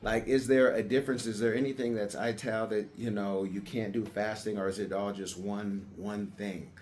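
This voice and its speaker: A man's voice: fairly low pitch, fairly even intonation, normal speed, a little mellow, slight background noise. A man from the United States, co-hosting a podcast, asks meandering, hesitant questions.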